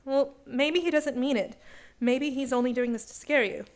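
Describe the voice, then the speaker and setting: An actress as a worried, higher-pitched young woman. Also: normal speed. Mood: concerned. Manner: concerned, earnest. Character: worried, loyal young woman, confidante of a hunted man. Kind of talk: suspense radio play acting.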